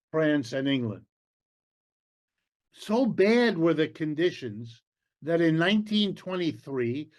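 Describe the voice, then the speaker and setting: A slow, measured man lecturing on history, his first language German. His voice sits fairly high for a man, and he leans on key words with deliberate pauses and the odd "uh". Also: darkish tone. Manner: slow, deliberate, emphatic phrasing with pauses and fillers.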